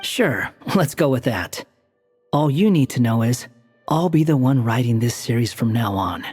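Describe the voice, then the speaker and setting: A woman's voice: rumbling low, animated, moderate pace, darkish tone, breathy edge, heavily acted. A woman playing a sly, self-assured game character who explains things in a dry, teasing way. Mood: wry. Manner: dry, teasing, matter-of-fact. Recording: game dialogue with long reverb and music.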